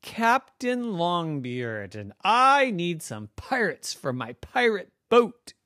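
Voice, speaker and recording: A woman's voice: fairly low pitch, sing-song, slow pace, fairly bright tone, dry recording, studio quality, full character voice. A woman voicing a cheerful five-year-old boy pirate in a children's story, jolly and proud.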